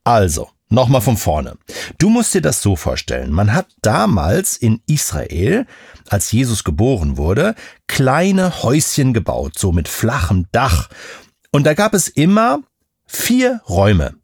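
Very animated, unhurried man explaining simply. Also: mid-range voice, gravelly, slightly rough, dry recording, studio quality. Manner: conversational, checks understanding with questions. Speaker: Bible expert on a children's show.

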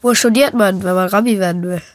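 A woman's voice: mid-range voice, fast, dry recording, studio quality. A woman reading interview questions aloud.

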